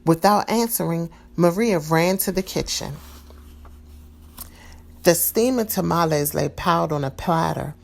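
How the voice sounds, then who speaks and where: Low, gravelly woman reading a storybook. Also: measured pace, pauses often. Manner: calm, expressive read-aloud. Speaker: storybook reader. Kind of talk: children's storybook read-aloud.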